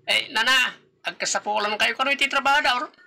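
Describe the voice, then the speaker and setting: A man voicing an eager handyman on the phone in an Ilocano comedy audio drama, with a hurried manner and an Ilocano accent. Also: very high voice, creaky, bright, forceful tone, voice pushed up, exaggerated voice.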